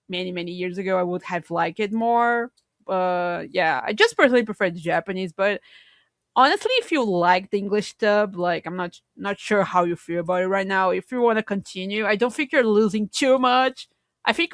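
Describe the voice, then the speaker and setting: Woman podcaster, halting delivery, dry close sound. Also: medium pitch, dry recording. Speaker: podcast co-host. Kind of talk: casual podcast discussion.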